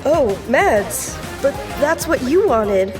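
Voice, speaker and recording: A brave, earnest little boy in an audio drama. The high, youthful voice is spirited and kind, a little off the mic with music underneath. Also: lively intonation, slow, recorded from a distance. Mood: fond. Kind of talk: scripted audio drama acting.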